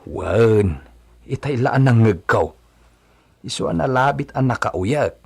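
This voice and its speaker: A man playing a concerned, plain-spoken village manservant in a radio drama, husky and conversational. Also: mid-range voice, animated, slow, heavily acted. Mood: gossiping.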